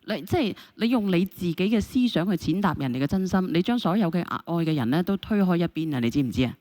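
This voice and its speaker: A woman's voice: on the low side, animated, fast talker, dark tone, no echo. A woman hosting a call-in show, questioning and admonishing callers insistently.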